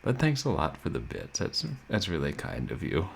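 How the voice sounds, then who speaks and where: A man streaming a video game, chatting casually and soft-spoken with viewers, often chuckling. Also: low voice, wildly swooping pitch, normal speed, gravelly, a little hiss or hum. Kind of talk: casual let's-play chat. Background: game music.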